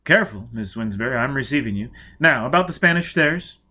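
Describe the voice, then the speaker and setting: A smooth young male interrogator in an audiobook, played by a man. He is courteous and soothing, with a lively, varied pitch that coaxes and reassures. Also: fairly quick. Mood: soothing sympathy. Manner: gentle, adroit, courteous.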